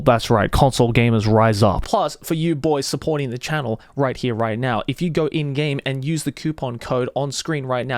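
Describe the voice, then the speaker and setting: A man's voice: medium pitch, no room sound. Chatty young man, dry close sound. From Australia. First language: Japanese. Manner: chatty, jokey, slangy. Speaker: YouTuber. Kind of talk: casual talk to camera.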